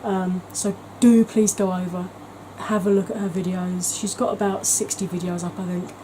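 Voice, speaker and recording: Mellow woman chatting warmly. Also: on the low side, little pitch movement, normal speed, a little hiss or hum, poor audio. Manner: chatty and gushing, with frequent ums. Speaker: beauty vlogger. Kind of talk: casual vlog chat.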